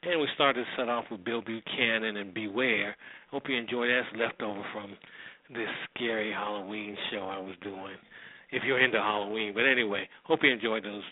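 A man's voice: on the low side, steady tone, a little fast, no room sound. A man hosting a radio show, talking in a casual, informal, conversational way. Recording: thin phone-like band-limited sound.

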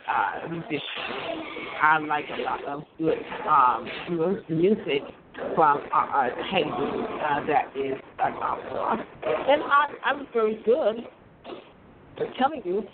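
A hoarse voice, worn down by a cold, rambles on, looping back over the same phrases and laughing between thoughts. It comes through a narrow, phone-like line. A crowd is clearly heard behind it. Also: medium pitch, animated, a little slow. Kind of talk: rambling monologue.

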